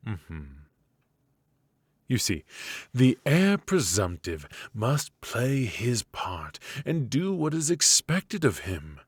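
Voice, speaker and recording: A man playing a bitter, haughty royal mage in an intimate fantasy ASMR roleplay, speaking in a measured, aristocratic, dryly mocking way. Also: deep voice, slightly rough, no room sound, exaggerated voice. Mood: scornful, mocking. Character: bitter, sardonic, haughty royal mage passed over for succession. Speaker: amateur voice actor.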